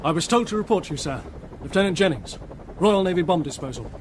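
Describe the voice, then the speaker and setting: An actor playing a naval bomb disposal officer with a clipped, formal manner. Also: male voice, high voice, lively intonation, fairly quick, a little hiss or hum. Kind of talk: radio crime drama acting.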